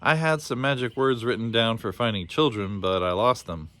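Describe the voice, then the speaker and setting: A man's voice: medium pitch, normal speed, fairly bright tone, natural voice. A man voicing a cheerful, forgetful old man with a folksy, absent-minded manner in a storybook. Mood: confused, apologetic. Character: cheerful, forgetful old man running a lost-things land. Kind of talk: storybook character voice.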